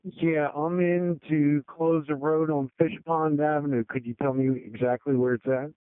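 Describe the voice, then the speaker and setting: A man from a highway crew calling county dispatch over two-way radio. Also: steady tone, moderate pace, clear, resonant tone, no room sound. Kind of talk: radio traffic. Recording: muffled narrow-band two-way radio.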